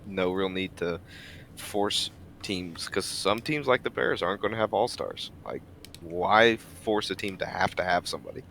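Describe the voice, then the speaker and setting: Male co-host, very low but sharp voice, informal sports chat, faint noise behind. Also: expressive, normal speed, creaky. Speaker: podcast co-host. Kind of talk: casual sports chat with friends.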